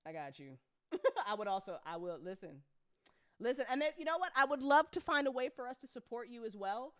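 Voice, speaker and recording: A woman hosting a casual livestream chat as an audio drama creator, animated and joking, with informal, sweary talk full of y'all. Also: on the high side, brisk, no echo, clean studio sound. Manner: informal speech with frequent y'all. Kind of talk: casual livestream chat answering audience questions.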